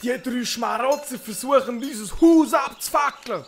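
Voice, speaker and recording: An actor playing a furious, foul-mouthed old grandfather guarding his land, shrill and ranting with a Swiss German accent, in a comic audio drama. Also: normal speed, a little hiss or hum. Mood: outraged. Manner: ranting, cursing.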